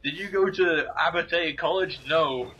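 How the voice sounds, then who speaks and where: A man's voice: on the high side, moderate pace, bright, forceful tone, slightly rough, lo-fi sound. A man with an English accent, joking, ranting and telling anecdotes in casual gaming commentary chat. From England. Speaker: co-host.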